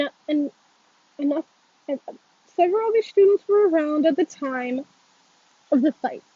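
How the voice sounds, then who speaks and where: Woman acting as a stern school principal, high-voiced. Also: dark tone, no echo, dull audio. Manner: firm, authoritative. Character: stern, formal female school principal, reproachful.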